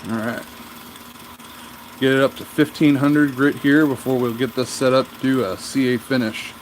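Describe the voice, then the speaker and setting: A man's voice: mid-range voice, steady tone, normal speed. A man with a nasal, slightly stuffy voice talks in a loose, rambling way as he streams from a chilly home workshop. He drifts between describing his work and answering viewers' comments. Faint noise hums in the background. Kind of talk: casual livestream chat.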